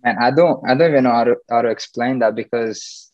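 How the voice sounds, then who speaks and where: A man's voice: mid-range voice, brisk, darkish tone. A young man in his twenties from Brazil, speaking English with a Brazilian accent touched by northern English. He talks loosely and chattily on a video call from home, full of "like" and "you know". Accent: Brazilian with a northern English tinge. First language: Portuguese. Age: 20s. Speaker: footballer, interview guest. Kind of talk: casual interview over video call. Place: small room at home, plain wall. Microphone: laptop webcam video call. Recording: dull lo-fi video call audio.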